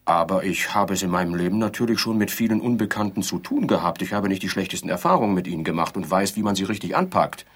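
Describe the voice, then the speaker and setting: A mild, absent-minded newlywed husband in an absurdist radio play. He speaks in a lowish, husky voice, musing and a little pompous, placidly defending himself. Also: quick pace, hardly any pauses. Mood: mild, self-assured. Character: placid, defensive bridegroom, absent-mindedly insisting on their happiness.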